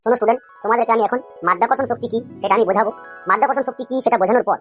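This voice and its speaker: A woman's voice: fairly high pitch, very fast, faint music behind, close to an everyday voice. A woman voicing a stern, easily exasperated male schoolteacher in a comic cartoon. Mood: instructing. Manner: scolding, questioning.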